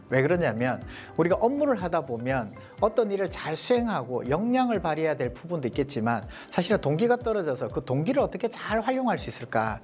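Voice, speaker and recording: A man with a softened Gyeongsang accent, giving a leadership coaching lecture to a small group and posing rhetorical questions. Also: fairly high pitch. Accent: Gyeongsang Korean, mostly softened. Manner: poses rhetorical questions, explanatory.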